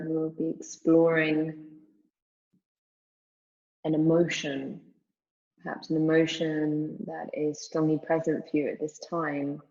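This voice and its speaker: Slow mellow woman doing guided meditation, calm n soothing, bit creaky, muffled lo-fi recording. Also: sits low. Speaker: yoga nidra meditation teacher. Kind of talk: guided meditation, spoken slowly with long pauses.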